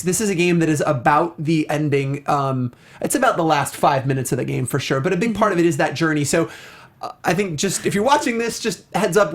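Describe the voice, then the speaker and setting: A man with an American accent hosting a casual, unscripted talk about video games, chatty and quick to interject and joke. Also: fairly high pitch. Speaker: talk show host.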